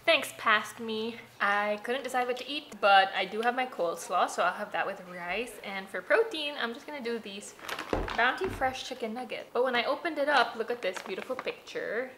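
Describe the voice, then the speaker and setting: A young woman chats into a handheld camera at home, loose and giggly, with lots of "like" fillers. Her voice sits a little high. A faint hiss runs underneath. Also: moderate pace. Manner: chatty, giggly, with frequent "like" fillers. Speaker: vlogger. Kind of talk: casual vlog chat. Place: home kitchen and dining room, indoors. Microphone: handheld camera held at arm's length.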